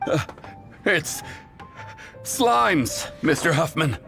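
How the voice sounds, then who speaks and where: An actor playing an alarmed, deferential young knight messenger in a video game, speaking urgently. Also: male voice, on the high side, lively intonation, slow, fairly bright tone, soft background music, full character voice. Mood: panicked. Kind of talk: video game character acting.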